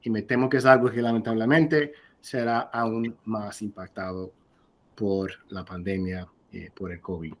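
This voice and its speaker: Soft-spoken man lecturing over video. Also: on the low side, steady tone. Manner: halting and earnest, frequent restarts. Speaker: guest lecturer. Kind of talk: formal conference lecture.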